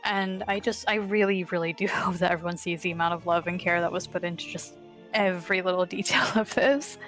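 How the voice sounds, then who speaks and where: A woman's voice: fairly low pitch, steady tone, brisk, a bit bright, faint music behind. A woman game artist chatting casually in a Q&A, bashful, self-effacing and prone to nervous giggles. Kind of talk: casual Q&A chat.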